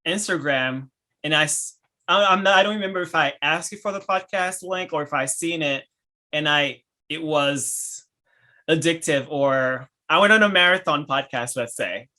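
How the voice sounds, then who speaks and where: Bright-voiced man with a Haitian accent. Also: on the high side. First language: French and Haitian Creole. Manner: enthusiastic, laughs often, halting restarts. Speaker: podcast host. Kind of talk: casual interview chat.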